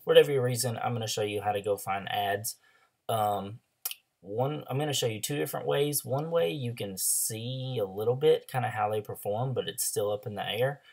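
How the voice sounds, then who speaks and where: A hoarse young man with a loose, chatty manner, full of ums and likes, walking through a screen-share tutorial as an online ads coach. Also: medium pitch, normal speed, a little mellow. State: hoarse after a throat illness.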